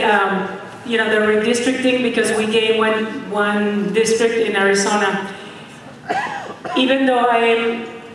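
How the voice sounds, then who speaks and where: Mexican-accented woman speaking in an echoey hall. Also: fairly high pitch. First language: Spanish. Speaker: congressional candidate, guest speaker. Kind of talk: impromptu political speech to an audience. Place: event hall with audience. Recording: echoey noisy hall, distant microphone.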